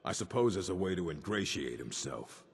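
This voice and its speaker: An actor playing a gruff, learned old orc librarian in a video game, with a gravelly rasp and a measured, curt delivery. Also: male voice, sits low, very animated, heavily acted. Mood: dry, measured. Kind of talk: video game dialogue acting. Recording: long reverb of a stone hall.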